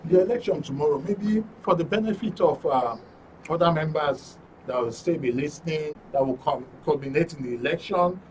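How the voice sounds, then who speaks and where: A Nigerian man hosting a live studio interview, speaking in a wordy, halting way with formal courtesy. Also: on the high side, darkish tone. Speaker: talk show host. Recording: distant mic with faint noise.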